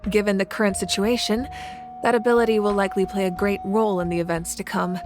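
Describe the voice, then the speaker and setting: A woman playing the calm, grave ruler of a fire nation in a video game, speaking in a measured, formal way. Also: medium pitch, natural voice. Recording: game audio with loud music.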